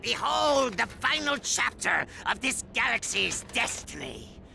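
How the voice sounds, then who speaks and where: An actor playing a maniacal, grandiose robot villain in a cartoonish video game, pompous and theatrical, with bursts of shouting. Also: male voice, extremely high pitch, very animated, unhurried, creaky, a little hiss or hum, full character voice.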